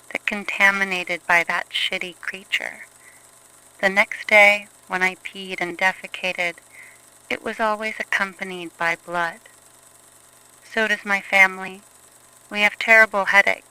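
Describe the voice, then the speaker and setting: A woman's voice: medium pitch, sharp tone, clear, resonant tone, rough recording. A woman playing a shaken young survivor giving an earnest, plain-worded testimony in a horror story. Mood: distressed.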